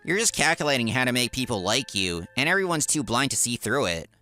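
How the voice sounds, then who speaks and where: A man's voice: medium pitch, very animated, fairly quick, sharp tone, soft background music. A man voicing a cocky, spiteful office worker in a comic dub, with a sneering, taunting manner. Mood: mocking. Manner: sneering, taunting, stammers when cornered.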